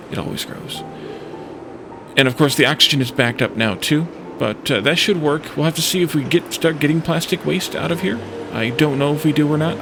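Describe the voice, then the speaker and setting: Gravelly-voiced man narrating his gameplay. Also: medium pitch. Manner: thinking aloud, musing to himself. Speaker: let's play host. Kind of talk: casual gameplay commentary.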